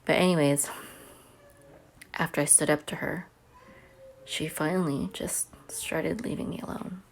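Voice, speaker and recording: A woman's voice: low voice, steady tone, a little slow, vocal fry, a little hiss or hum. A homeschooling mother telling a personal story to camera in a chatty, earnest way. She says she has a big voice.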